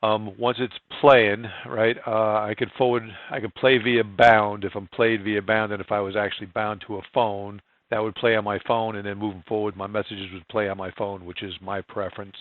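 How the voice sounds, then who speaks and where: Low-voiced man, even-toned software walkthrough. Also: normal speed, few pauses, no echo, phone-quality sound. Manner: informal, plain-spoken step-by-step explaining. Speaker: software trainer. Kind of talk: screen-share software tutorial narration.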